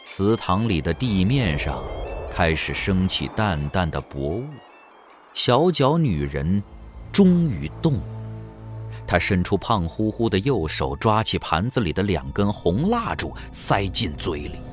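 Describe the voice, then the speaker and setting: A man's voice: sits low, big pitch swings, a little slow, faint music behind. A man narrating an audio drama in a suspenseful, dramatic scripted storytelling style.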